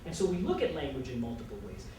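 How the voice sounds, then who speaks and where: A man's voice: mid-range voice, very animated, quick pace. Echoey, far-off male teacher lecturing. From El Paso, Texas. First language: Spanish. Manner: earnest, stops and restarts, mixes in Spanish. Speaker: college writing teacher. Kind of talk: classroom lecture. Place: classroom. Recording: echoey classroom from far off, noisy.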